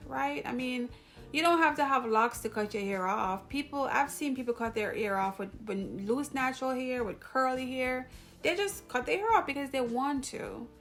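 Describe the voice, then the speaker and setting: A woman's voice: mid-range voice, a bit bright. A woman from Jamaica with a Jamaican accent, talking casually to her viewers as a video host, rambling and interrupting herself. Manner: chatty, rambling, self-interrupting. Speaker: YouTube host. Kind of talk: casual talk to camera.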